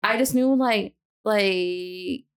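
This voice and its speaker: A woman's voice: medium pitch, extremely slow, clear, resonant tone. A woman in her twenties in casual podcast chat, slangy and sweary, repeating herself emphatically as she vents. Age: 20s. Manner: slangy, sweary, animated venting with repetition.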